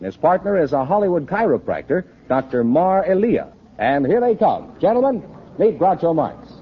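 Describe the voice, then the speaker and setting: A man's voice: a little high, big pitch swings, moderate pace. An American man working as the announcer on an old-time radio quiz show. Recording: dull, noisy old radio recording.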